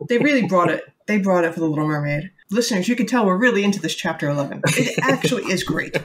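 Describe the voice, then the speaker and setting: A woman's voice: medium pitch, lively intonation, quick pace, a little hiss or hum. A woman co-hosting a podcast in casual book-discussion chat, sarcastic and giggly, with rambling asides. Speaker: podcast co-host.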